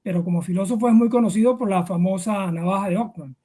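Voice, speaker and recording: A middle-aged man from Venezuela speaks in a notably high voice with a Venezuelan accent. As an interview guest he explains informally, hesitating and repeating words as he searches for the next phrase. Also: steady tone, quick pace, mellow. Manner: hesitant, with many filler words and repetitions.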